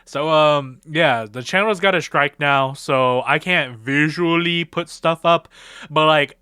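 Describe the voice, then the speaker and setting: A man's voice: a little high, takes it slowly, sharp tone, clear, resonant tone, no echo, studio quality. A man hosting his own channel, rambling through casual, slangy fan commentary and acting out bits of imagined dialogue. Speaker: video creator and channel host.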